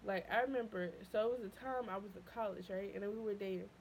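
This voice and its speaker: A young woman, telling a personal story to camera in a casual, animated way, jumping high when she quotes herself and dropping low and quiet when upset. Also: quick pace, a little hiss or hum. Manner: animated, voice leaping high when quoting her past self. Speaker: vlogger.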